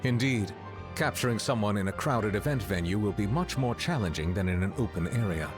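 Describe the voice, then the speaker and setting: A man's voice: lowish voice, animated, brisk, lightly acted. A man voicing a composed, serious and courteous officer in a video game, formal and precise. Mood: serious, reassuring. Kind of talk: video game dialogue acting. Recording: clear game music behind. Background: background music.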